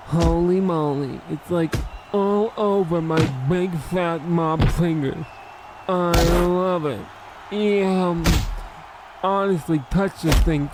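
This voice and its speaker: A high, unhurried, gushing mobster acted by a man, greedy and dim. He talks with childlike glee in a radio comedy, against clearly noisy ambience. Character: gleeful, greedy, dim male mob boss. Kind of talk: comedic radio play acting.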